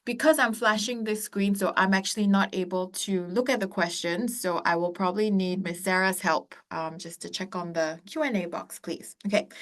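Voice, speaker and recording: A woman in her forties, born in Korea and raised across Asia, founder of an education company, presenting a webinar to students in an earnest, encouraging way, pausing often with um and uh. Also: mid-range voice. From born in Korea, raised across East and Southeast Asia.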